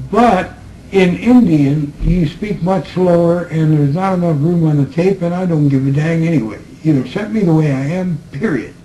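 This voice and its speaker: A man talking slowly and reflectively to a small group in a room, recorded on tape, with laughs between his remarks. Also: a little high, mellow, poor audio. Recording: old tape recording with faint hiss.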